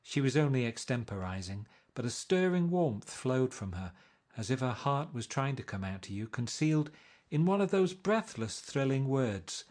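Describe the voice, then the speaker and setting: Brief: male narrator, mid pitch, measured read-along storytelling. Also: lively intonation, a little mellow. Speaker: reader. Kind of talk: reading a novel aloud.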